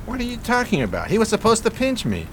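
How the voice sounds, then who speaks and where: A man's voice: high voice, huge pitch swings, quick pace, a bit bright, a little hiss or hum, heavily acted. An actor playing a scheming homeless man in a 1920s radio drama, with florid, mock-genteel diction and a hapless air. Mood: flustered. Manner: florid, ornate, theatrically polite diction. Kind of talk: radio drama acting, 1920s period piece.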